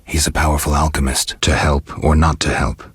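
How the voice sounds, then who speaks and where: A man playing a stoic, world-weary middle-aged monster hunter in a video game, speaking in a gravelly, husky voice with dry, laconic restraint. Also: a bass voice, little pitch movement, brisk, dry recording, heavily acted. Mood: flat, resolute. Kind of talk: scripted video game character dialogue.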